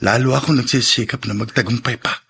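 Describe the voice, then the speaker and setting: An actor playing an earnest, polite young man in a radio drama, husky-voiced and serious. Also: medium pitch, fairly even intonation, quick pace, bright, forceful tone, full character voice. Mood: grave. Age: young adult. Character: earnest young man, shy then grimly determined.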